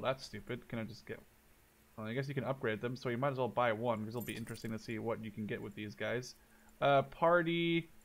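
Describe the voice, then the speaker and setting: A man in his twenties giving casual, excitable let's play commentary, thinking out loud as he goes. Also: mid-range voice. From Canada or the Netherlands. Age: 20s. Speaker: gaming video host.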